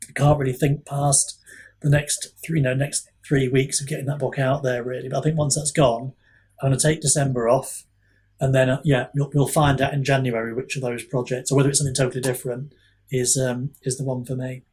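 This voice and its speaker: A man with a husky voice in casual podcast chat as a guest author, rambling and self-deprecating, often halting and repeating himself. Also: medium pitch, flat delivery, darkish tone.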